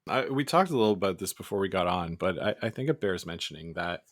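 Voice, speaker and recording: A man co-hosting a sports podcast in a relaxed, laid-back way, his voice a little scratchy today. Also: deep voice, fast, no echo, studio quality. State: slightly scratchy voice. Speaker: podcast co-host. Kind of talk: casual sports podcast chat.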